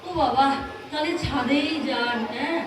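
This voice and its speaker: A woman acting a naive, chatty young bride in a comedy audio play, speaking Kolkata Bengali in an unhurried, guileless way. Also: high-pitched, faint music behind. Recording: very echoey, distant, clearly noisy.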